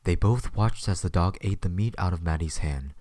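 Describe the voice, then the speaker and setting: A man's voice: sits low, moderate pace, darkish tone, dry recording, clean studio sound. A man narrating a scripted story as the narrator of an audio drama.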